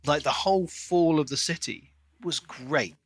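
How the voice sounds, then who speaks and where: A man co-hosting a podcast, chatting casually and enthusiastically about a book in an expressive way. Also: on the high side, measured pace. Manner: enthusiastic, expressive, acts out quoted lines. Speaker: podcast co-host.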